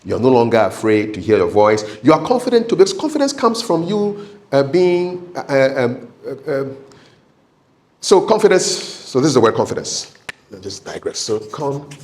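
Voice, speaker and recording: A man's voice: medium pitch, sing-song, moderate pace, darkish tone. A man giving a live training talk as a public speaking coach, conversational and instructive, repeating key points for emphasis and often checking in with short tag questions. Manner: emphatic, repetitive, checks in with "okay?".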